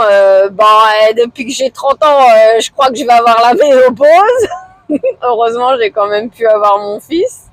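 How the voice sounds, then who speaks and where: Woman in her forties, vlogger, slow unhurried talk, hesitant, many fillers, subdued. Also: no echo, rough recording. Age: 40s. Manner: low-key, somewhat discouraged. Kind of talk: casual chat to camera.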